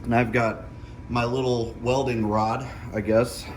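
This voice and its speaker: A man's voice: medium pitch, level tone, a little slow, a little hiss or hum. A middle-aged man giving a casual hands-on tutorial, explaining things step by step and checking in as he goes. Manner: explanatory, often checking with "okay?". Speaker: DIY vlogger.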